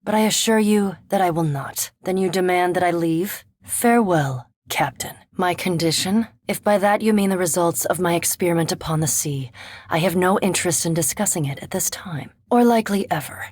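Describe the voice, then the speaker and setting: An actress voicing a haughty, scholarly elf vampire in a fantasy video game, with formal, precise diction and dry, disdainful sarcasm. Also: female voice, on the low side, darkish tone, no echo, natural voice. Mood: guarded, curt.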